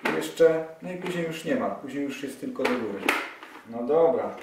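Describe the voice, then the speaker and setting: A man's voice: mid-range voice, lively intonation, moderate pace. A man in his forties talking casually and enthusiastically as he unboxes motorcycle gear, thinking aloud while he handles it. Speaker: motorcycle vlogger. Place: small indoor room, seated at a table. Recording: echoey room, distant camera mic, audible handling noise.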